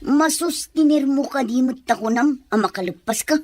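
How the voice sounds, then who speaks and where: A woman's voice: sits high, expressive, normal speed, a little mellow, no room sound. A woman playing a nosy, blunt older village mother in an audio drama. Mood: disapproving. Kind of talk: acted Ilocano audio drama.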